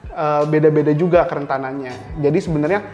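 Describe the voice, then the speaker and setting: A man's voice: on the high side, steady tone, a little fast, darkish tone, lo-fi sound. A young Indonesian man giving casual explanations as an interview guest, informally mixing in English tech terms. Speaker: interview guest, cyber security engineer. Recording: reverberant hall sound, faint music.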